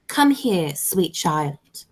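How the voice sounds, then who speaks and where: A woman playing an imperious, pompous aristocratic matron in an amateur radio play, speaking in a formal, deliberate way. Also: fairly low pitch, husky, heavily acted. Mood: measured condescension. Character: haughty, imperious aristocratic matron Lady Bracknell, coolly disapproving.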